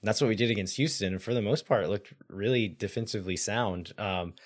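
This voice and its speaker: This is a man whose voice has gone raspy and hoarse from yelling at a game. He talks low and casually, working through tactical points with plenty of fillers and restarts. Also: quick pace. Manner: analytical, thinks aloud with fillers. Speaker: podcast co-host. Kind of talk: casual sports podcast chat.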